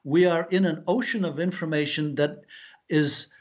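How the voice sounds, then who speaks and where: A middle-aged man from Afghanistan talks slowly through his ideas as an interview guest, pausing often and restarting his sentences. His voice sits a bit higher than most men's. The recording is dull and lo-fi. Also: steady tone. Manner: thoughtful, halting, many fillers and restarts. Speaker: author, guest. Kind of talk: reflective interview talk. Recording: dull lo-fi sound.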